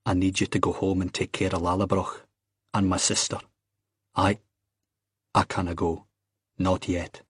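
A man voicing a guarded, wry young Scottish man in an audiobook, quiet, restrained and terse. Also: sits low, flat delivery, measured pace, long pauses, husky, no room sound, studio quality. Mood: quiet, resolute.

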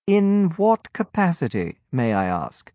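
A man's voice: fairly high pitch, huge pitch swings, a little slow, mellow, no room sound, voice pushed up, exaggerated voice. A man reading an audiobook and voicing a polite aristocratic officer with a formal, dryly ironic manner. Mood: incredulous. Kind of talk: audiobook character voice. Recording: dull, muffled audiobook recording.

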